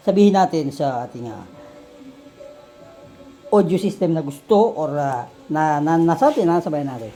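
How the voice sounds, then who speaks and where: Casting: Filipino vlog host, medium register, casual Taglish product chat, halting delivery with questions tossed to viewers. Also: medium pitch, darkish tone. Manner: Taglish code-switching. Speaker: vlog host showing vintage audio gear.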